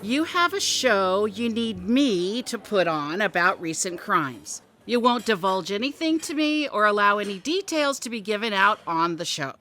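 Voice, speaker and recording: A woman's voice: mid-range voice, sing-song, moderate pace, hardly any pauses, fairly bright tone. An actress playing a sassy, wisecracking woman podcast host in a contemporary radio drama, with a wry, quippy delivery. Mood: sardonic.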